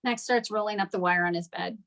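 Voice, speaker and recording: A woman's voice: medium pitch, brisk, a bit bright. A woman narrating scripted stage directions at a table read.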